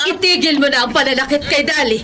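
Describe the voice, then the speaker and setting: A woman playing a stern older adoptive mother who accuses a scheming son, rising to shouts, in a Tagalog radio drama. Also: high-pitched, quick pace, natural voice. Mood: accusing.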